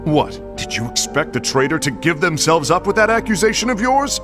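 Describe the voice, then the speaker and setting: A man's voice: on the high side, sing-song, a little fast. An actor playing a brash, hot-tempered male warrior leader who talks bluntly and scornfully. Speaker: voice actor in a game cutscene. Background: game music.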